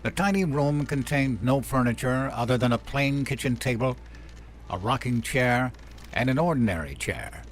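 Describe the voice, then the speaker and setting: A man's voice: medium pitch, expressive, moderate pace, a little hiss or hum. A man narrating a scripted, noir-tinged story in a literary, atmospheric storytelling manner.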